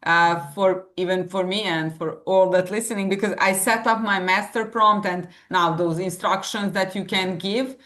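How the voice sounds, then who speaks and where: Halting woman host. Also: mid-range voice, fairly even intonation, fairly bright tone. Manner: halting, many "uh" pauses and restarts. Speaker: podcast host. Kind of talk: interview questions.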